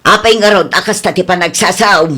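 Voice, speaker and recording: A woman's voice: fairly low pitch, a little fast, bright, forceful tone. A woman voicing a fussy, chatty middle-aged mother in a radio drama. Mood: worried.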